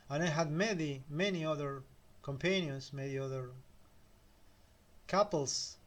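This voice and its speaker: A middle-aged man with a Spanish accent, a native Spanish speaker, giving a slow, measured motivational talk with pauses. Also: fairly high pitch. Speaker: self-help speaker.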